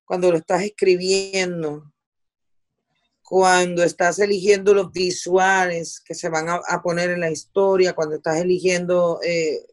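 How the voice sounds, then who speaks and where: A middle-aged Puerto Rican woman talking conversationally in an interview, repeating words for emphasis and dropping English words into her Spanish. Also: lowish voice. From Puerto Rico. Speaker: interviewee, veteran television journalist.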